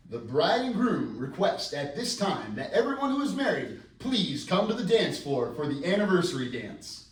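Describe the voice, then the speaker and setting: Man acting a glib, lively wedding DJ in an echoey room. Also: on the high side, normal speed. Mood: announcing. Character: wisecracking, smooth-talking, scheming male wedding DJ. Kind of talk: table read of a screenplay. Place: festival table read in a room. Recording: roomy, distant mic, faint noise.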